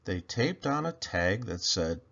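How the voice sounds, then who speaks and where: A man with a gravelly, husky voice narrating a children's story in an expressive read-aloud style. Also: on the low side, a little slow, highs cut off. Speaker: storybook reader.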